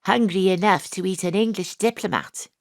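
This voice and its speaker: A brisk Welsh woman private eye, played by an actress, in a dry, close recording with a mid-range voice. She is tongue-in-cheek and deadpan, acting and narrating a comic audio drama. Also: medium pitch, dry recording, close to an everyday voice. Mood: brisk, flirty.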